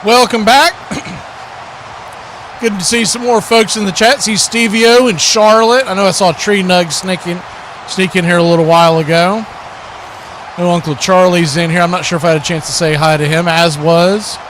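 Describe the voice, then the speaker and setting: A man's voice: high voice, steady tone, normal speed, a bit bright, slight background noise. A man hosting a live stream with play-by-play sports commentary and chat to listeners.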